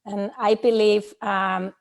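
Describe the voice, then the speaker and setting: A middle-aged woman from Iceland talks as a guest over a video call. Her pitch sits in an ordinary middle range for a woman. She speaks thoughtfully and hesitantly, pausing often with fillers as she gathers her words. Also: level tone. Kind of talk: casual personal talk.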